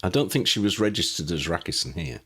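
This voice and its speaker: A man voicing a calm, practical young wizard who leads a team, in measured, matter-of-fact audiobook dialogue. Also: low voice, husky, heavily acted. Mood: watchful.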